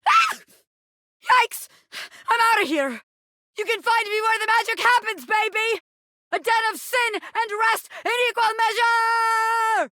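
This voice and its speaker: A woman voicing a cocky, bossy small-time gangster boss, a living pin in a video game, who talks tough but has a soft spot. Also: extremely high pitch, sing-song, a little slow, no room sound, clean studio sound, full character voice. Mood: exclaiming, flamboyant. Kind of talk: video game character voice acting.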